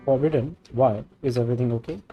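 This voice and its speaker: Male game streamer, medium-pitched voice. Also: fast, warm tone, muffled recording, faint music behind. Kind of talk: reading game dialogue aloud, casual reactions.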